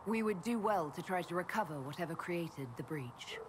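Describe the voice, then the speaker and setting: A woman's voice: lowish voice, lively intonation, normal speed, close to an everyday voice. A woman voicing a young, curious and protective elven mage in a video game, speaking in a composed, direct, earnest way. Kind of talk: video game dialogue acting. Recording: clear ambient noise behind game dialogue.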